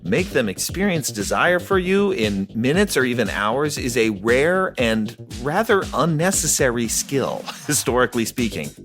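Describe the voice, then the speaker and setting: A man's voice: medium pitch, sing-song, moderate pace, few pauses, fairly bright tone. A man speaking as a podcast guest in an interview excerpt. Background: music.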